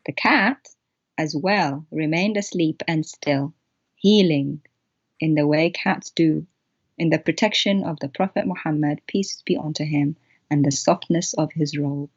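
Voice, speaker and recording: A woman's voice: low-pitched, measured pace, husky, lo-fi sound. A woman whose first language is Arabic, speaking English and retelling a story with theatrical mockery in an online discussion. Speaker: co-host of an online show.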